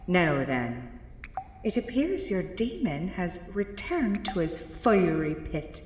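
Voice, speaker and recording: An actress playing a calm, sweetly sinister nun with a measured, doting manner in a horror audio drama. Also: female voice, lowish voice. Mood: calm menace. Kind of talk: horror radio drama acting. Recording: echoey hall, clearly noisy background.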